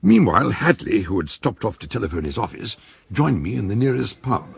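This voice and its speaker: A man with a British accent acting as the narrator of a detective radio drama, husky and gravelly, telling the story with animation. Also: fairly low pitch, sing-song, a little fast, no room sound, heavily acted. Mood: storytelling. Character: male detective-story narrator recounting a murder case.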